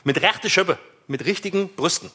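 A man with an Alemannic German accent trades deadpan jokes in casual podcast banter. His voice sits high for a man and sounds bright and a little husky. He laughs often between his teasing lines. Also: moderate pace. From southwest Germany.

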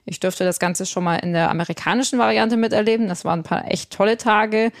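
A woman's voice: mid-range voice, quick pace, no echo, clean studio sound. A woman co-hosting a music podcast, presenting reviews and previews of events.

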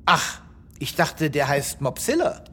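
An actor playing a flippant, self-assured veterinarian with a casual, dismissive manner in a comic sci-fi radio play. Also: male voice, fairly high pitch, sing-song, a little slow, fairly bright tone, slightly rough, full character voice. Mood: puzzled. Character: flippant, self-assured male veterinarian who knows dogs.

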